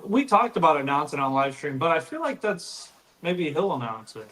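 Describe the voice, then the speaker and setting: A man's voice: fairly high pitch, big pitch swings, normal speed, fairly bright tone, reverberant, poor audio. A man co-hosting a live stream in casual chat, easygoing and rambling, saying "dude" a lot and sounding tired.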